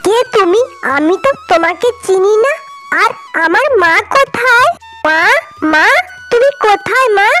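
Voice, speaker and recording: A woman's voice: extremely high pitch, animated, a little slow, sharp tone, faint music behind, heavily acted. A woman playing an innocent young girl raised in the forest by a tigress, in a cartoon fairy tale. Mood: confused, searching.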